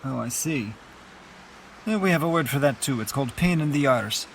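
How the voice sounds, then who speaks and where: A man's voice: mid-range voice, expressive, normal speed, a little hiss or hum, natural voice. A man playing a wry, stubborn mourner. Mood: teasing, prodding. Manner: dry, teasing, sardonic. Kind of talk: radio play dialogue.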